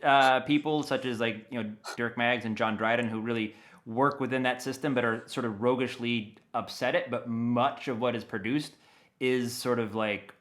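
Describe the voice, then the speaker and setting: An American man giving a casual interview, rambling in an animated way as he thinks aloud. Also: medium pitch, normal speed. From United States. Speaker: interview guest, audio dramatist.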